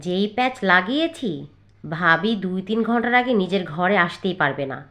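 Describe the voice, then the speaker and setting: A woman's voice: on the low side, animated, normal speed, fairly bright tone, voice pushed down. A woman voicing a lazy, spiteful young sister-in-law in a cartoon fairy tale, whining and scheming. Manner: whiny complaining and gleeful scheming.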